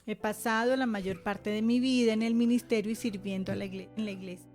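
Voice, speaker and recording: A woman's voice: mid-range voice, steady tone, a little fast, a little mellow, faint music behind. Woman interpreting, with small hesitations. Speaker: interpreter and co-pastor. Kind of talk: live interpreting into Spanish.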